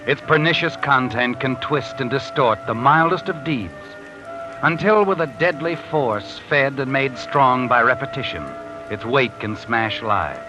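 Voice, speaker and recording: An actor playing a mysterious, all-knowing narrator who walks by night, in 1950s radio drama style over music. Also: male voice, mid-range voice, animated, unhurried, natural voice, low-quality recording. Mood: brooding. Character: mysterious male night-walking narrator spirit. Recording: old radio broadcast with music.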